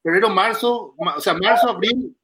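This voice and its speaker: Bright, high-pitched man. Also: poor audio. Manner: halting, repeats words as he thinks. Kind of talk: casual panel discussion.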